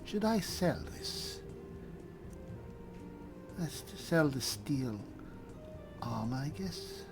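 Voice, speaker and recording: Slow, measured male high elf adventurer, played by a gamer. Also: big pitch swings, creaky, music in the background, full character voice. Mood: pensive, muttering. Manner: measured, formal, thinking aloud. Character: male high elf spellsword, cool dry mastermind adventurer. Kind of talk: roleplay game commentary, musing in character.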